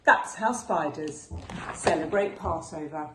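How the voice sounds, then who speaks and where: A woman's voice: low voice, a little slow, pitched below the performer's own voice. A woman voicing an eager, curious young boy spider in a storybook, exclaiming and asking wide-eyed questions. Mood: proud, excited. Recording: roomy echo with faint background noise.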